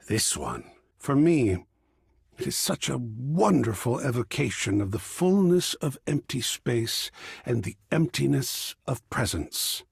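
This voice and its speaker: A man's voice: medium pitch, vocal fry, slightly rough, dry recording, studio quality, exaggerated voice. An actor voicing an effusive, grandiloquent art connoisseur in a video game, savoring his words with dramatic flair. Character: effusive, theatrical art connoisseur, a living piece of artwork. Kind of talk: theatrical game character dialogue.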